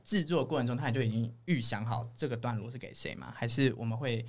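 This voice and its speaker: A man's voice: mid-range voice, fast. A man with a Taiwanese Mandarin accent hosting a podcast in a playful, casual chatting style. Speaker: podcast host. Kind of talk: casual chat.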